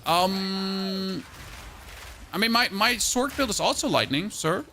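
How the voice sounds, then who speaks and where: A man's voice: expressive, slow pace, bright, forceful tone, slight background noise. A man streaming a game and chatting casually with viewers, rambling and repeating words as he restarts his sentences. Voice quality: high-pitched voice. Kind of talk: casual gameplay chat with viewers.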